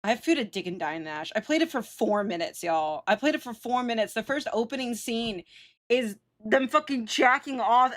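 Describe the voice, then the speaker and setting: A woman livestreamer chatting casually with viewers in a slangy, sweary, self-teasing and exclaiming way. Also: high-pitched. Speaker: live streamer.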